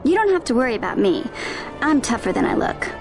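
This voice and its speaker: A woman's voice: sits high, very animated, fast talker. A woman voicing a cheerful, plucky teenage girl in a video game, eager and upbeat. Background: game soundtrack music.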